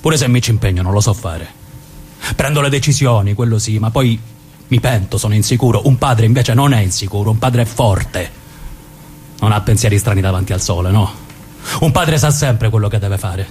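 A man's voice: lowish voice, moderate pace, a little mellow, a little hiss or hum, lightly acted. A man playing a blunt, protective but insecure brother with a southern Italian accent, in a colloquial radio drama reading. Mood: insecure, confessional.

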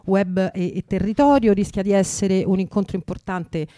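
A woman's voice: mid-range voice, fairly even intonation, normal speed, mellow, dry recording, studio quality. A woman from Italy hosting a radio show, announcing events in an informal, digressive and enthusiastic unscripted way.

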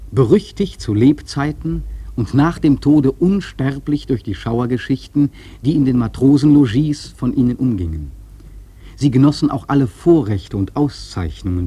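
Casting: reflective sea-novel storyteller for radio drama, mellow and husky, earnest, measured rhetoric. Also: male voice, mid-range voice. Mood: grave, musing. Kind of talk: literary narration in a radio play.

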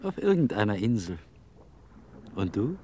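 Easygoing, unhurried vagabond. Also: male voice, fairly low pitch, very animated, warm tone, slight background noise. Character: easygoing vagabond Mario, wistful lover of quiet. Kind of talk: German radio play acting.

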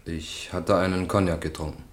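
A man's voice: sits low, steady tone, moderate pace, natural voice. An actor playing an evasive, sullen man on trial in a radio crime drama, giving terse, reluctant answers in a roomy, echoing space.

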